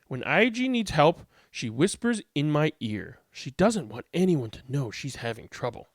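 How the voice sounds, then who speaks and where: Animated man reading aloud, close dry sound. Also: a little high, moderate pace, no room sound. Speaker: read-aloud host and storyteller. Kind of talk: expressive picture-book reading and informal commentary.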